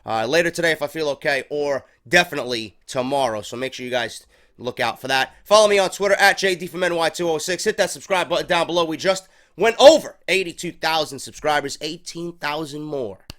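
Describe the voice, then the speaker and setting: A man's voice: fairly high pitch, brisk, a bit bright. A man with a stuffy head cold hosting a casual wrestling review show, rambling and swearing freely. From New York. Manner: profane, rambling, unscripted.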